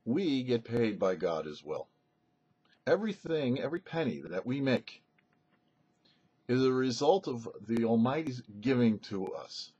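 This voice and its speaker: Husky man teaching Torah, lively intonation. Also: medium pitch, unhurried, muffled recording. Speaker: rabbi presenting a weekly Torah portion show. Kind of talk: religious teaching, scripted-sounding Torah commentary.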